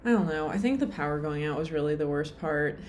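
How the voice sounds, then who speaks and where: Tired young woman vlogger, low pitch, chatty and meandering, self-deprecating. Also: expressive, fairly quick, a little mellow, a little hiss or hum. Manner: rambling, lots of "like" and "um", self-deprecating. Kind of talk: casual rambling vlog.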